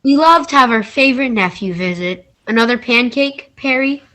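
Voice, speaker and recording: A woman reading a helpful, doting aunt from next door, kindly and brisk, in readers theater. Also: fairly high pitch, noisy background, lightly acted. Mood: fond, sympathetic.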